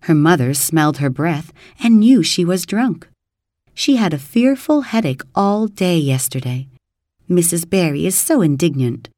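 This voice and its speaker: A woman's voice: fairly low pitch, animated, a little slow, mellow, no room sound, studio quality. A woman voicing a dramatic, imaginative orphan girl who wails and sobs in distress. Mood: distraught. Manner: wailing, sobbing, breathless and overwrought.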